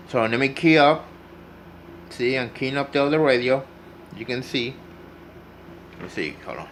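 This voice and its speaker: Man giving a hands-on tutorial, noisy room. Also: on the low side. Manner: unscripted, step-by-step, repeats himself. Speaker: hobbyist demonstrating CB radio repair. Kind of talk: casual hands-on tutorial talk.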